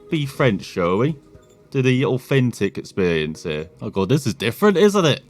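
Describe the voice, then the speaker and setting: An English man hosting a gaming video, talking through menus in a relaxed, chatty way. He wanders into self-deprecating asides and keeps a mid-range voice. Faint music plays under him. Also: mid-range voice. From England. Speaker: gaming channel host. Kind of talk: casual gameplay commentary.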